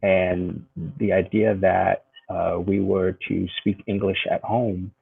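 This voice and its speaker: Low gravelly man on a call. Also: level tone, takes it slowly, dry recording. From United States. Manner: thoughtful, hesitant, many fillers and restarts. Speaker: guest. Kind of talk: conversational interview. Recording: tinny phone-like video call.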